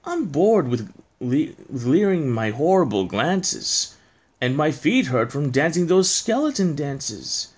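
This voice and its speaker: A man's voice: on the high side, very animated, slow, darkish tone, slightly rough, voice pushed up, exaggerated voice. A man voicing a restless, theatrical skeleton in a storybook poem, with dramatic, emphatic delivery. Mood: fed up. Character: tall thin male skeleton, restless, bored, theatrical dreamer.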